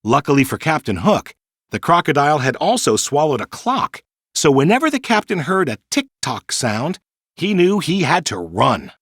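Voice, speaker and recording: A man reading a children's storybook in an expressive, clearly paced storytelling manner. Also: a little high, moderate pace, no room sound, studio quality. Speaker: narrator. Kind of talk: scripted children's storybook narration.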